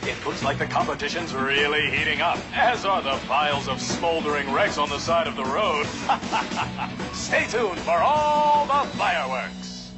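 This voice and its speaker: A smarmy, self-loving race show host for a video game. The voice sits fairly high and sweeps up and down in grandiose showman patter, over music. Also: expressive, unhurried. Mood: excited showman. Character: vain, smarmy male racing show host. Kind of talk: showy broadcast patter in a game cutscene.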